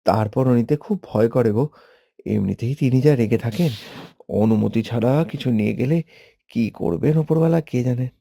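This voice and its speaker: Meek, mellow cartoon orphan lad played by a man. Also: mid-range voice, fairly quick, gravelly, no echo, clean studio sound. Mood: hesitant. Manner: timid, deferential. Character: meek, obedient young orphan nephew, a household helper. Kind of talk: Bengali cartoon voice acting. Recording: plucked-string music behind the voice.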